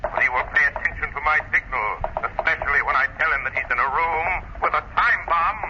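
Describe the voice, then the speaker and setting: An actor playing an invisible crime fighter with a cool, taunting manner, in a 1930s radio thriller style. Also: male voice, a little high, brisk, close to an everyday voice. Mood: mocking, confident. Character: invisible male crime fighter, calm and mocking. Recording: very noisy muffled 1930s radio recording.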